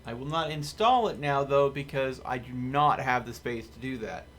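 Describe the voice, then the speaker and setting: A man live streaming a video game, chatting casually to viewers in an expressive, playfully mock-dramatic way. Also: mid-range voice, moderate pace, slight background noise. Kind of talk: casual gaming commentary to viewers. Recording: music behind the voice. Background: music.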